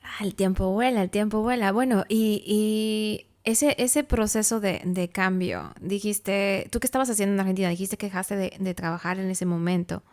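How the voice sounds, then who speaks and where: A woman hosts a relaxed podcast interview. Her voice has a middle pitch. She is chatty, keeps up quick backchannels and adds playful asides. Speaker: podcast host. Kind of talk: casual interview.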